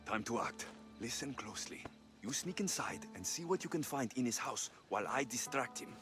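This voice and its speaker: An actor voicing a confident, protective mercenary in a video game, firm and direct. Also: male voice, medium pitch, expressive, normal speed, lightly acted. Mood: firm, instructing. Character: confident Greek mercenary man, protective, blunt, calm. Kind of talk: video game cutscene acting. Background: background music.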